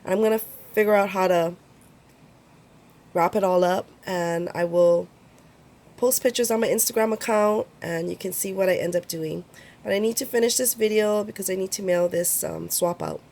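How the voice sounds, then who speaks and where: Woman, stuffy head cold, gravelly fry, chatty craft vlogger, lots of ums. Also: mid-range voice, normal speed, darkish tone. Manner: chatty, rambling, many ums. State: congested with a cold. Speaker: crafting YouTuber. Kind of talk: casual show-and-tell vlog.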